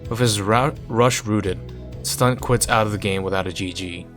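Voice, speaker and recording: A man's voice: lowish voice, moderate pace, fairly bright tone, soft background music. A man reading a visual novel aloud in a narrating style, with casual asides.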